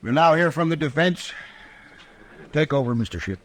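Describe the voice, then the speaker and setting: A man's voice: on the high side, lively intonation, normal speed, close to an everyday voice. A man playing a brusque, officious frontier judge in an old-time radio western, curt and offhand in his questioning. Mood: smug. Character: brusque, biased frontier judge fond of liquor. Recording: vintage radio drama recording.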